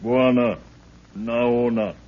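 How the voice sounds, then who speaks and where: An actor playing a huge, loyal African prince who speaks solemn broken English mixed with African words in an old radio drama. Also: male voice, medium pitch, steady tone, drawn-out words. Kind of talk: 1930s radio drama acting. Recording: muffled, noisy 1930s radio recording.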